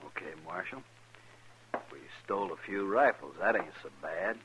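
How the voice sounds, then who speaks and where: A man playing a shifty, surly rifle thief in a radio western, defensive, with rough uneducated frontier speech. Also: low voice, animated, moderate pace, fairly bright tone. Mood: wheedling, subdued. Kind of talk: 1950s western radio drama acting. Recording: dull, noisy old radio recording.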